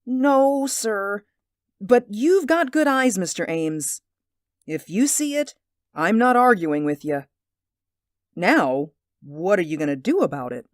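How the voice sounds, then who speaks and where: Woman playing a slow, folksy, superstitious housemaid. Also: mid-range voice, sing-song, dry recording, studio quality. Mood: doubtful, placating. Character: deferential, superstitious, sceptical housemaid. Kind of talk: radio drama acting.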